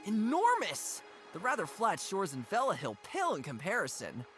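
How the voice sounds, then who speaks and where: A woman's voice: medium pitch, wildly swooping pitch, moderate pace, fairly bright tone, faint music behind. A woman voicing an earnest, curious young deer in a cartoon adventure game, musing aloud expressively.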